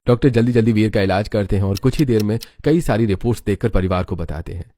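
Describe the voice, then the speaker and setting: Male narrator, lowish pitch, scripted storytelling for moral tales. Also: quick pace, warm tone.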